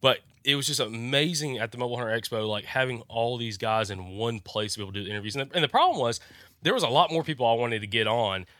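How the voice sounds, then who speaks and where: Man, podcast co-host, stuffy nasal voice from a cold, quick bright delivery, dry close sound. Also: medium pitch, lively intonation, dry recording. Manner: rambling, many fillers and restarts. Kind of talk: casual podcast chat.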